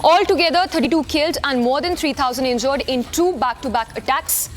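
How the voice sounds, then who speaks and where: A woman's voice: high-pitched, brisk, long reverb tail, soft background music. A woman anchoring a live news bulletin, reading it loosely with many fillers and restarts.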